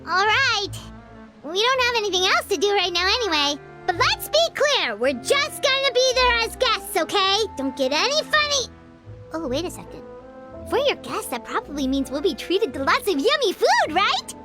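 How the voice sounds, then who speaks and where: An extremely high, squeaky little fairy girl from a video game. The voice leaps wildly up and down with childlike excitability. Music plays clearly behind it. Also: normal speed. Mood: wary, eager. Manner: exclamatory, refers to self in third person. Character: small, excitable, food-loving girl fairy companion. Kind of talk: animated video game dialogue.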